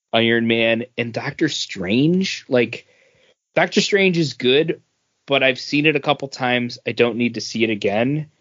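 A man's voice: medium pitch, a bit bright, no echo, muffled recording. A man chatting casually as a podcast co-host, giving opinions in a hesitant, rambling way with repeated words. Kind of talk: casual opinion chat about movie rankings.

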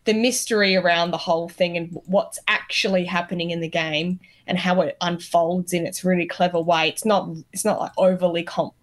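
A woman with a voice a little lower than average speaks as a podcast panellist. She gives her opinions in loose, conversational phrasing. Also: steady tone, a little fast, hardly any pauses. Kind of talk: casual chat.

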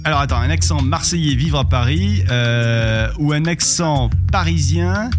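A man's voice: on the high side, measured pace, over music. A man hosting a playful would-you-rather game, teasing and upbeat. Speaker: host. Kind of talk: playful game-show banter.

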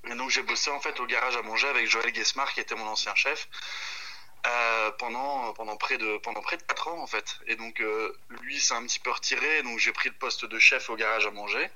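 A man in his thirties speaks as a guest on a phone call. His voice is strikingly bright and forward, at an ordinary male pitch. He talks informally and hesitantly, thinking aloud as he goes. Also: fairly even intonation, brisk. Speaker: chef, podcast guest. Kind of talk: casual chat over the phone.

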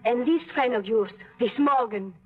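An actress playing a proud young foreign woman in a 1940s radio drama, soft-spoken and emotional with formal phrasing. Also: a little high, moderate pace, close to an everyday voice. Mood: upset. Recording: tinny old radio broadcast with music.

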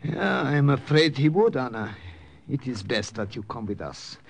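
An actor playing an earnest, careful European professor and resistance ally, with a deliberate, grave manner in an old-time radio drama. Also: male voice, medium pitch, sing-song, vocal fry, slight background noise.

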